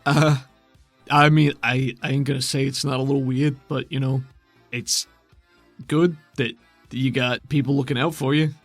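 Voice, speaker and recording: A man's voice: medium pitch, little pitch movement, moderate pace, no room sound, soft background music, natural voice. A man voicing a folksy, easygoing male companion in a cyberpunk tabletop game, talking in loose colloquial phrases. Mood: laid-back, puzzled.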